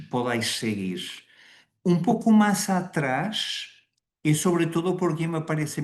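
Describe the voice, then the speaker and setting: A man's voice: medium pitch, reverberant. A man with a Portuguese accent, a Jesuit priest giving a webinar presentation over a video call, hesitating with fillers and restarting words. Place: indoors in Rome.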